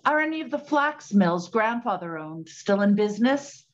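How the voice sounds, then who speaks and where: A woman playing a plucky, practical 1920s detective and bossy sister in a period drama, with brisk, slangy talk. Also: medium pitch, lively intonation, muffled recording, close to an everyday voice. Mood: curious. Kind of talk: scripted period radio drama acting.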